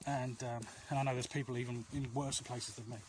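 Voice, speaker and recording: A man's voice: mid-range voice, little pitch movement, brisk, a little mellow, poor audio. A man giving earnest, reassuring advice in a casual, rambling chat to viewers as he walks outdoors. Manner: rambling, often losing his thread. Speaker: vlog host. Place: outdoors, walking along alleys. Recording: outdoor walk with clear ambient noise. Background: outdoor ambient noise.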